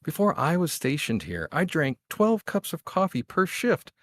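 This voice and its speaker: A man acts out a grumpy secret agent in a radio-show table read. His fairly high voice swings widely as he grumbles and swears with weary sarcasm, recorded dry. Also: wildly swooping pitch, moderate pace, no echo. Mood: exasperated grumbling. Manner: exasperated, sarcastic. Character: grumpy caffeine-deprived male agent, intensely focused, sweary. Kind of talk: audio drama table read.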